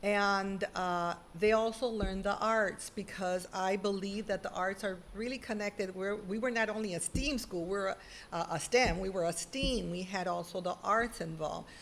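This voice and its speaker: Middle-aged lady on a video call, animated storyteller, mid pitch, bit of hiss behind. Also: moderate pace. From Puerto Rico. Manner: animated, emphatic storytelling with lively quoted asides. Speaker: guest, former school principal. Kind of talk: conversational interview.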